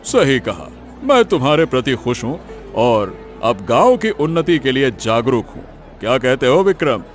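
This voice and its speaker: A man plays a hearty cartoon village headman. He declares things effusively in a mid-pitched, swinging voice with light music behind him. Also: sing-song, moderate pace, some chatter behind, close to an everyday voice. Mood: admiring. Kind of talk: scripted cartoon story acting.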